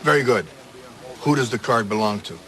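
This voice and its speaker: An actor playing a serious, terse police detective in a crime drama, matter-of-fact in dialogue and voice-over. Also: male voice, fairly low pitch, moderate pace, fairly bright tone, lightly acted. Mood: dry. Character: serious, terse male police detective, narrating his case.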